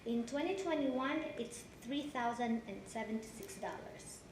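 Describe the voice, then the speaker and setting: High-voiced Filipino woman testifying. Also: expressive. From Philippines. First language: Tagalog. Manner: halting, many restarts. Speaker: witness in a divorce hearing. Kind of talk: courtroom testimony. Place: courtroom. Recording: echoey courtroom, background noise.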